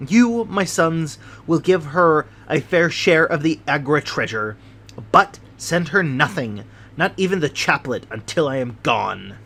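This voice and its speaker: A man voicing a dying, greedy old army major who confesses his guilt in a slow, heavy delivery. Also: fairly high pitch, lively intonation, bright, forceful tone, heavily acted. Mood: confessing.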